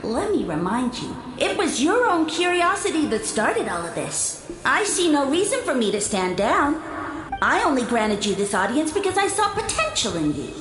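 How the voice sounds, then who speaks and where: A woman's voice: high-pitched, sing-song, normal speed, echoey room, over music, rough recording. A woman voicing a pompous, condescending mushroom lord in a video game, with grandiose and archaic formal speech. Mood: scornful. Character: pompous, condescending mushroom lord, self-styled sage of fungi.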